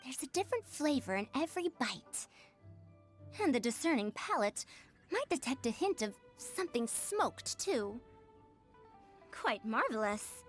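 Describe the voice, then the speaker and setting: A woman's voice: sits high, sing-song, measured pace, faint music behind. A woman playing a refined, penny-pinching merchant and scholar in a video game, speaking in an elegant, articulate and theatrical way. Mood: appreciative, erudite.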